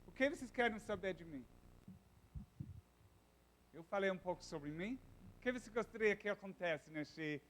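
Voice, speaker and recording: Englishman lecturing in halting Portuguese. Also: sits high, animated, a bit bright. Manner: halting, searches for words, many restarts. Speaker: university lecturer. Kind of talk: informal classroom lecture.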